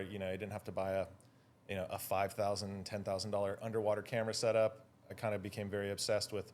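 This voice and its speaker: A Californian man chatting casually as an interview guest, with a laid-back, slangy way of talking. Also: on the low side. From Hawaii and California, United States. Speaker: guest, drone photographer.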